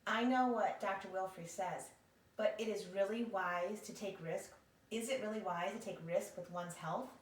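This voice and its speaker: A woman's voice: mid-range voice, normal speed, lightly acted. Woman as a melodramatic, indignant dog, echoey and distant. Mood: self-pitying. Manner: theatrical, wheedling complaint. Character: melodramatic, self-pitying, indignant male pet dog writing letters. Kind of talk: expressive picture-book read-aloud. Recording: echoey room, distant microphone.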